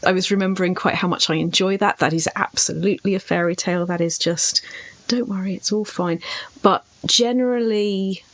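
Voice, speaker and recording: A woman's voice: medium pitch, steady tone, brisk, fairly bright tone. A woman podcast co-host talking conversationally and articulately about writing, in a reflective way with little acted-out asides. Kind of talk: conversational literary discussion.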